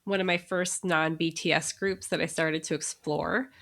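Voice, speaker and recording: A woman's voice: low voice, steady tone, a little slow, fairly bright tone. A woman co-hosting a podcast in casual chat, talking easily and laughing often between remarks. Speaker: podcast co-host.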